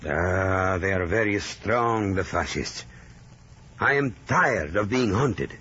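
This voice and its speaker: An actor playing a wary, surly guerrilla leader worn down by being hunted, in vintage radio drama style. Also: male voice, low-pitched, lively intonation, slow, fairly bright tone, husky, heavily acted. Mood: angry, weary. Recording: muffled old radio drama recording.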